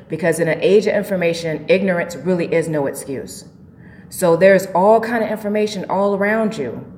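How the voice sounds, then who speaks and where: Woman, low-pitched, spiritual show host, informal and preachy, emphatic delivery, reverberant room sound. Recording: echoey, reverberant room with faint noise.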